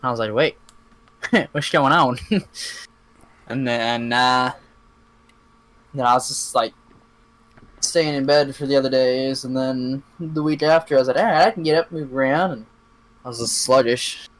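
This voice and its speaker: A man's voice: mid-range voice, unhurried, pauses often, fairly bright tone, poor audio. Easygoing man rambling during a game. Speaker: gamer playing with a friend. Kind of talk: casual chat while gaming.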